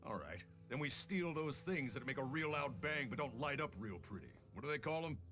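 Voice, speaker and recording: A man's voice: medium pitch, big pitch swings, fairly quick, lightly acted. Man acting a crude, blustering bandit boss. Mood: scheming. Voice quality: rough street-thug diction. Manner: crude, bossy, swinging into loud shouts. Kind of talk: animated video game acting. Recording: music behind the voice.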